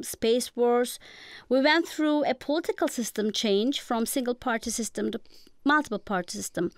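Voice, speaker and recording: A woman with a Turkish accent speaks in a somewhat high, clean and resonant voice. Her delivery is measured and formal, like a professor reading prepared answers. The sound is close and dry. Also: smooth, no echo. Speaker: guest professor. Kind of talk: prepared lecture-like interview answers.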